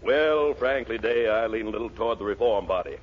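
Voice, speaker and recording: An actor playing a stuffy, formal senator who talks in a measured way in a 1940s radio comedy. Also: male voice, fairly low pitch, close to an everyday voice. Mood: pompous, measured. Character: stuffy, deliberate male senator. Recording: noisy, dull 1940s radio recording.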